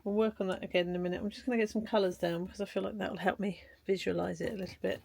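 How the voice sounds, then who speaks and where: A woman vlogging from her shed, her voice hoarse and croaky from a cold. She talks in a chatty, rambling, self-deprecating way, with a gravelly rasp at the bottom of her voice. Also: medium pitch, fairly even intonation, rapid-fire, a little mellow. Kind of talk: casual art vlog chat. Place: garden shed studio.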